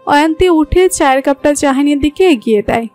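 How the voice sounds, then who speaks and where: High-pitched Bangladeshi woman narrating a story. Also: expressive, a little fast, a little mellow, faint music behind. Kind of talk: scripted romantic story narration.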